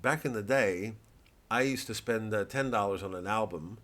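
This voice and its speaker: A middle-aged man, a comedian, talking in a rambling, sardonic monologue that swings into loud, mocking asides. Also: fairly low pitch, moderate pace. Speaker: comedian promoting his work.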